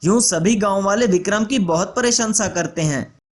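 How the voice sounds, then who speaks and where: A man's voice: high voice, moderate pace. A man reading scripted narration for a children's story as the storyteller.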